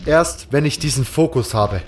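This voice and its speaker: A man's voice: mid-range voice, normal speed, slight background noise, close to an everyday voice. A man playing a stubborn, bold warrior hero in a fantasy audio drama, speaking firmly and curtly. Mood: insistent. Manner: firm, curt, commanding.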